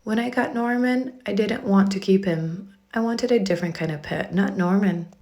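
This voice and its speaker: Creaky-voiced woman reading a children's storybook. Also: mid-range voice, moderate pace, warm tone. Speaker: children's book reader. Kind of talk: expressive storybook read-aloud.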